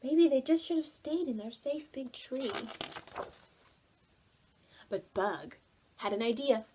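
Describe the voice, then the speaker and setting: A woman reading a children's storybook aloud for preschoolers, dramatic and expressive, involving the young listeners. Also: high voice, slow, background noise. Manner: animated, dramatic, asks listeners questions. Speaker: children's library storytime reader.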